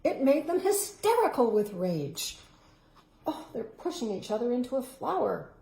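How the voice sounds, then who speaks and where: Expressive woman reading a storybook aloud. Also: fairly high pitch, normal speed, creaky. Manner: expressive, dramatic rises and hushed, whispered endings. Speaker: storybook reader. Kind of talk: reading a picture book aloud. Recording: roomy echo.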